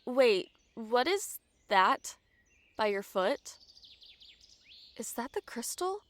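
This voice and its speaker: A woman playing a carefree, excitable young horned adventurer in an audio drama, casual and flippant. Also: a little high, slow. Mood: curious.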